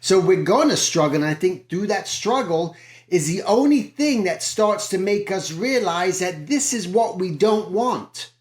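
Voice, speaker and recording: An earnest man with a slightly high voice gives a motivational talk as an art teacher. He encourages his listeners and keeps looping back on his own phrases and questions. The sound is roomy and echoey. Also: animated, measured pace. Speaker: art teacher and channel host.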